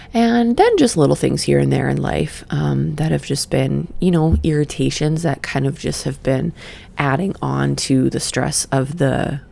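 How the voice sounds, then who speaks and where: A middle-aged woman who just woke up, leading a gentle breathing exercise and then reflecting in a soft, meandering way. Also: sits low, vocal fry. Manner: soft, slow and meandering, with sighs and fillers. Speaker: podcast host and session coach. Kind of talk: guided breathing then casual reflective talk.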